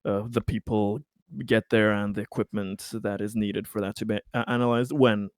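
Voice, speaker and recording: Low, clear-voiced man thinking aloud. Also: little pitch movement, normal speed, no room sound. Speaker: player in a tabletop roleplaying game. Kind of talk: casual tabletop game talk.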